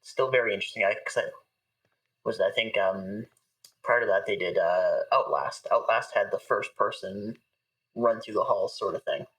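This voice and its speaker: A man's voice: lowish voice, normal speed, a bit bright, recorded from a distance, poor audio. A man chatting casually as an interview guest, with hesitant, thoughtful phrasing. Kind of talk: casual chat.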